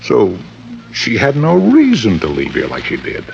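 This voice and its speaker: An actor playing a middle-aged, wealthy father, gruff and defensive, brusque and insistent, in an old-time radio drama. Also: on the low side, huge pitch swings, a little slow, full character voice. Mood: certain, insistent. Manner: brusque, insistent, plain-spoken. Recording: dull, faintly noisy vintage radio recording.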